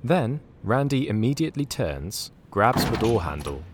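A man's voice: medium pitch, big pitch swings, moderate pace, heavy background noise. A man narrating a scripted comedic audio drama in a theatrical, wry announcer's style.

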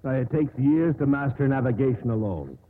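Casting: man as hearty, scornful ship's officer, very animated mid-pitched voice, thin vintage radio sound. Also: fairly quick, close to an everyday voice. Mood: amused, dismissive. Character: scoffing, jovial sailing-ship first mate. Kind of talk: 1950s radio drama acting. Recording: muffled, hissy 1950s radio broadcast.

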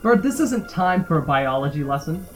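A man acting in an amateur audio drama as a practical, protective, teasing diver, casual and brisk. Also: a little high, sing-song, soft background music. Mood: concerned.

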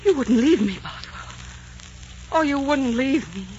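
A woman's voice: high-pitched, lively intonation, unhurried, darkish tone. Lovesick young queen, fervent, desperate, vintage radio romance, muffled noisy sound. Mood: pleading. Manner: melodramatic, imploring, fervent. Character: passionate, desperate young Queen of Scots in love. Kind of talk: 1940s radio romance acting. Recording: dull, noisy 1940s radio recording.

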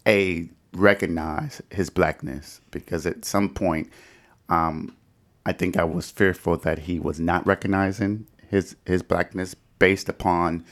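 A man's voice: sits low, fairly even intonation, measured pace. A middle-aged American man from Detroit hosting a conversational podcast discussion and asking questions. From Detroit, United States. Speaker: podcast host.

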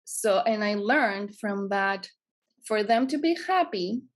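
Greek-accented woman around forty, podcast guest, earnest and reflective, mid-pitched voice. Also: animated, slow pace. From Greece. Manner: earnest, reflective, repeats phrases for emphasis. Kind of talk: personal storytelling in an interview.

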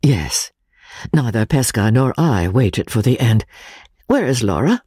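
A grave young Victorian gentleman, played by a male narrator speaks with restraint in a storybook drama. He is secretive yet tender and firm, in a medium-low, breathy-edged voice. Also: very animated, unhurried, no echo. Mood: guarded.

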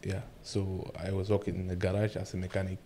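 A man, answering interview questions in halting, simple English with many fillers. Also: sits low, monotone, dark tone, slight background noise. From Cameroon. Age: 20s. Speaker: guest arm wrestler.

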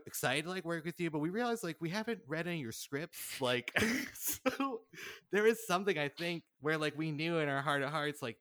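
A man speaking as a podcast guest in a casual, animated storytelling way, peppering his talk with "like". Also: on the high side, no room sound, studio quality. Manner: animated, chuckles through anecdotes, frequent "like". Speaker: podcast guest, audio drama writer-director.

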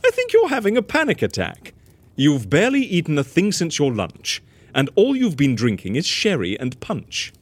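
An actor playing a flamboyant, amused fixer with a theatrical, teasing manner in a rhyming audio comedy. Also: male voice, on the high side, huge pitch swings, moderate pace, no room sound. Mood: amused concern. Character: flamboyant, amused fixer who fakes deaths. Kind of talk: rhyming comic audio drama.

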